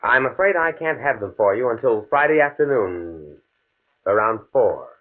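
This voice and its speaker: A man's voice: mid-range voice, expressive, moderate pace, natural voice. A man playing a determined, fatalistic professor in a 1950s radio drama, speaking in a grave, precise way. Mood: controlled, businesslike. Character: desperate, determined male professor, coolly fatalistic scientist. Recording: muffled, band-limited old radio recording.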